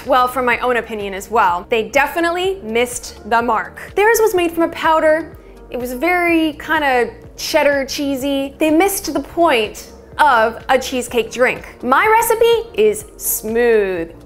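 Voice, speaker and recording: A high-voiced woman in her thirties talks enthusiastically to camera from a small shop kitchen, explaining a drink recipe like a friendly tutorial host. Her tone is upbeat and chatty, and soft music plays under her voice. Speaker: bubble tea shop owner and host.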